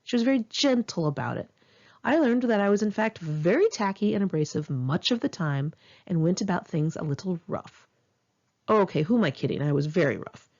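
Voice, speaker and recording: Woman narrator for a personal memoir: lowish, husky voice, frank and emphatic delivery, on a slightly muffled home recording. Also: expressive, a little fast, darkish tone. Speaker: author reading own memoir. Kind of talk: scripted memoir narration for an audiobook, read in one take.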